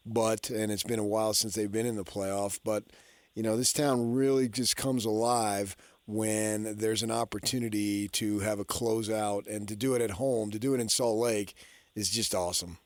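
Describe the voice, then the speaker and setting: A man's voice: fairly low pitch, steady tone, moderate pace, dry recording. A middle-aged man hosting sports radio, talking casually and thinking aloud as he rambles along, close to the microphone.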